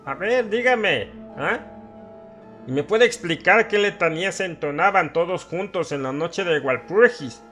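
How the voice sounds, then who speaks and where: A man's voice: high-pitched, lively intonation, measured pace, fairly bright tone, faint music behind. A man playing a drunken, half-crazed old villager, sly and ranting, in a dramatized horror audiobook, with a rambling and insistent manner. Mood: mocking, manic. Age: older. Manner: cackling, rambling, insistent questioning.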